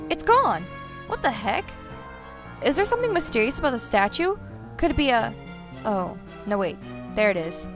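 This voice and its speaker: A woman's voice: on the high side, big pitch swings, moderate pace, pauses often, music in the background. A woman voicing a sardonic young girl adventurer, narrating and talking in a game fan dub with wry, casual asides. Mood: curious, surprised. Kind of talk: fandub game narration and dialogue.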